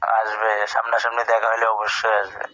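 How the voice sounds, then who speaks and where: A young man with a Bangladeshi accent makes casual phone talk. His voice is on the low side, monotone and very piercingly bright, and he coaxes persistently, repeating himself. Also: fairly quick, lo-fi sound. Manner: coaxing, persistent, repetitive. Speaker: mason.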